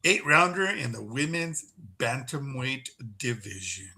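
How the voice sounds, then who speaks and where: A man's voice: mid-range voice, animated, measured pace, gravelly, pushed and bright, faint music behind. A man co-hosting a fight podcast, running down the weekend's events in a casual, laid-back way, often saying bro. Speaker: podcast co-host.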